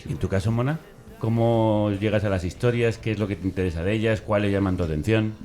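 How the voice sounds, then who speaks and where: A man with a Spain accent and a low voice hosts a conversational radio interview. There is faint music in the background. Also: fairly even intonation, fast talker, dark tone. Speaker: host.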